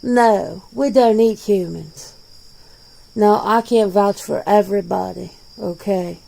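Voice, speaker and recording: Woman playing a mellow, creaky, laid-back werewolf. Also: mid-range voice, slow pace, rough recording. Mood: matter-of-fact. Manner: blunt, casual, swearing, conversational. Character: jaded, defensive werewolf in their forties, plain-spoken. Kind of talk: ASMR roleplay of an interview.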